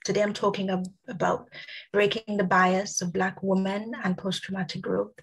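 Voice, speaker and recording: A woman talks on a video call with a husky, gravelly voice that is starting to give out. She clears her throat now and then and moves through her points in a measured way, with frequent ums. Her pitch sits in the middle range for a woman. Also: flat delivery, poor audio. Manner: measured, reads out lists with many ums. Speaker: guest speaker. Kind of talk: prepared talk at an online summit.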